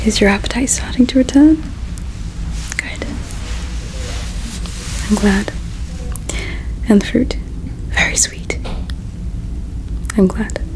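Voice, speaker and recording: Whispering, creaky gentle alien woman played for ASMR. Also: medium pitch, poor audio. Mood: tender, caring. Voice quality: breathy whisper with creaky edges. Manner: slow, hushed, tender, reflective. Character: gentle female alien, resigned, caring, wistful. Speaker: ASMR performer. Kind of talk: ASMR roleplay, whispered and soft-spoken.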